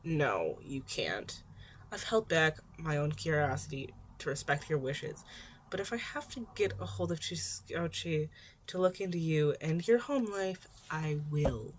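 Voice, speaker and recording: A woman voicing a stern, deadpan grown male hero mentor who speaks bluntly in a fan fiction reading. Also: low-pitched, measured pace, slight background noise. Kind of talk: audiobook-style fan fiction character acting.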